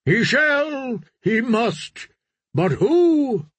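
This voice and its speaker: A man's voice: extremely high pitch, sing-song, drawn-out words, husky, dry recording, pitched above the performer's own voice, exaggerated voice. A man voicing a zealous crowd of drowned men, chanting and calling out. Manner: shouting, chanting.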